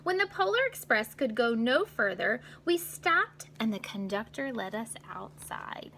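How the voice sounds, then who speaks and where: A woman's voice: high-pitched, vocal fry, sharp tone, slight background noise. A woman reading a children's storybook aloud as a teacher, expressively, with little asides to young listeners about the pictures.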